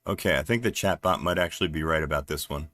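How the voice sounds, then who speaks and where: A man from New Jersey with a deep voice, chatting casually and haltingly on a live stream while he works at his computer, with lots of fillers. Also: fairly bright tone, no room sound, clean studio sound. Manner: rambling, halting, many fillers, laughs often. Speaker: livestreaming developer.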